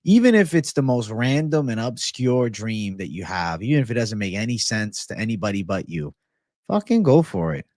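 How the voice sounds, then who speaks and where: A man's voice: lowish voice, big pitch swings, moderate pace, a little mellow, no room sound, clean studio sound. A man hosting a casual livestream, chatting and answering viewers in slangy, profane, bro-heavy banter. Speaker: livestream host.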